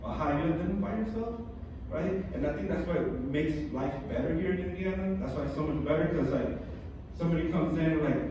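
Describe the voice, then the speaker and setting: A man's voice: on the high side, fairly quick. A man telling stand-up jokes in a chatty, rambling way, with many fillers and restarts, born in Massachusetts and raised in California. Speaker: stand-up comedian. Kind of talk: stand-up comedy set. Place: comedy club stage. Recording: echoey club room, distant mic, background noise.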